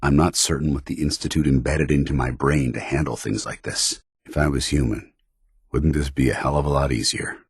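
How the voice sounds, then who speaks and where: A man playing a stern, earnest soldier who is secretly synthetic, speaking in a formal, measured military manner for a video game. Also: a bass voice, fairly even intonation, breathy edge, dry recording, clean studio sound, exaggerated voice. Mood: troubled, earnest, then bitter, troubled. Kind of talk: video game companion dialogue, dramatic acting.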